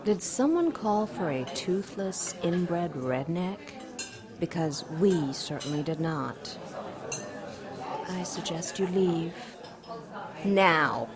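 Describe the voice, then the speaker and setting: Sultry demon woman, unhurried, lowish voice, imperious, coolly menacing, radio play, busy restaurant behind. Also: animated. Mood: cold, disdainful. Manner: sultry, haughty, deliberate. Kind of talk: audio drama dialogue. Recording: restaurant clatter and chatter behind.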